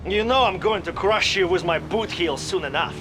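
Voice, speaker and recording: A sneering enemy commander. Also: male voice, sits high. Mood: menacing. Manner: taunting, slow and sneering. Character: gloating male enemy commander, menacing and boastful. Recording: game audio over loud music.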